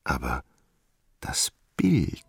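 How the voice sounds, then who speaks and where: A man narrating a literary radio drama in a dramatic, deliberate way, hushed at times. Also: a bass voice, wildly swooping pitch, gravelly, husky, no room sound, clean studio sound.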